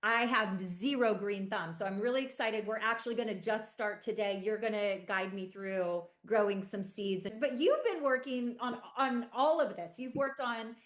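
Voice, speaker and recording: A woman's voice: mid-range voice, moderate pace, few pauses, reverberant. A woman moderating a casual panel chat, upbeat and giggly as she leads the questions.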